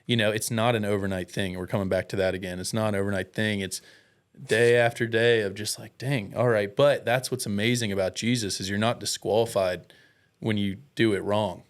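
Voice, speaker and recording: A man's voice: lowish voice, fairly even intonation, fairly quick, clear, resonant tone. An American man talking as a guest in a casual, candid way, telling personal stories with earnest, self-deprecating, slangy speech. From United States.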